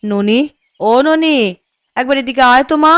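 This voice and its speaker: A woman's voice: high voice, measured pace. A woman playing a weary, scolding but caring village housewife and mother in a children's folktale cartoon. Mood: instructing. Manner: nagging, chiding. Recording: music under the voice.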